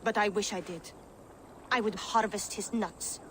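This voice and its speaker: A woman's voice: mid-range voice, fairly even intonation, measured pace, a little hiss or hum, natural voice. A woman playing an anxious, earthy Viking-age mother in a video game cutscene, fretful and full of feeling. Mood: worried, fretful. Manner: fretful, emotive.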